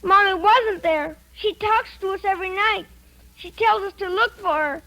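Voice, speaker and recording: A woman's voice: extremely high pitch, moderate pace, fairly bright tone, low-quality recording. A woman playing a stubborn, distraught young boy haunted by his dead mother, insistent and childlike, in 1940s radio drama style. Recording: vintage 1940s radio broadcast.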